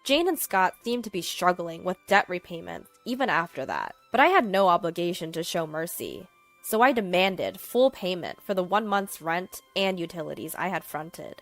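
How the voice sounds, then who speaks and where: A woman's voice: fairly low pitch, lively intonation, moderate pace, faint music behind. A woman narrating a scripted first-person story as its storyteller.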